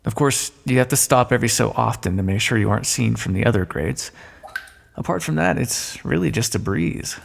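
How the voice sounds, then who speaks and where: A man playing a smug, sardonic thief in an audio roleplay, speaking with mocking mock-courtly politeness and drawn-out emphasis in a long echo. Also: mid-range voice, lively intonation, creaky. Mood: boastful, sly. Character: smug, sardonic male thief, gloating at a fallen monarch. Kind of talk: scripted audio roleplay, theatrical monologue. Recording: long echoing reverb.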